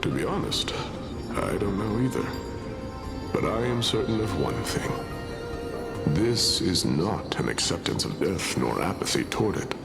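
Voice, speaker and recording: A deep gravelly dying warrior. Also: male voice, big pitch swings, heavily acted. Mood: calm, noble. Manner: slow, solemn, at peace. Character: dying old warrior hero, calm and noble. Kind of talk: game voice acting. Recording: loud game music behind.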